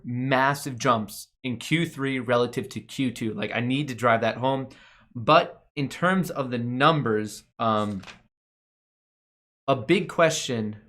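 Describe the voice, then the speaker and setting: Guy hosting a stocks show, regular pitched voice, talking off the cuff, kinda emphatic. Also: a bit bright. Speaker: host of a stock market show. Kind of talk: casual off-the-cuff financial commentary.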